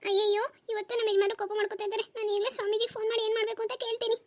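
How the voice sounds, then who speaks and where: Casting: performer to play a scatterbrained cartoon mother and wife, extremely high-voiced, quarrelsome, full of nagging exclamations. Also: little pitch movement, racing, heavy background noise. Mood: defensive. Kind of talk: comedy cartoon dialogue.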